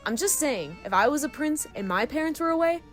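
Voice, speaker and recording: A mischievous, breezy young troublemaker voiced by a woman in an audio drama. The voice is on the high side and very animated, playful and glib, over faint music. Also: brisk. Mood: breezy, speculative. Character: breezy, mischievous young troublemaker full of half-baked theories.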